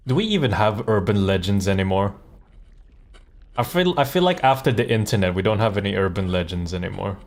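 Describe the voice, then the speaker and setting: A man's voice: fairly low pitch, expressive, fairly quick, soft background music. A man giving casual, amused game livestream commentary, rambling and repeating words as he thinks aloud. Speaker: live streamer and voice actor.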